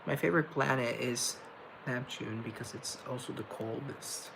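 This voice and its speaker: Gravelly young man chatting on a livestream. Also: medium pitch, normal speed, background noise. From Colombia. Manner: rambling, thinks aloud, reads viewer comments. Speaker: livestream host reading chat. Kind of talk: casual livestream chat. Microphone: laptop mic.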